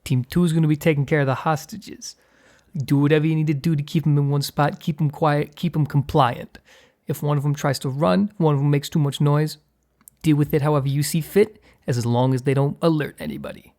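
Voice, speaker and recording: A man playing a gruff, short-tempered mob boss in an ASMR roleplay, with a gravelly, husky voice. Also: fairly high pitch, fairly even intonation, brisk, close to an everyday voice. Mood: commanding, businesslike. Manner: commanding street-tough slang with crew, flustered hushed stammer with partner. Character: gruff, short-tempered male mob boss hiding his work from partner.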